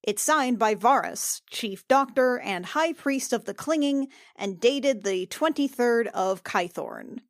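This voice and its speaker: A woman's voice: a little high, expressive, slow pace, hardly any pauses, no room sound, clean studio sound. A woman playing a tabletop game, talking casually and reading material aloud.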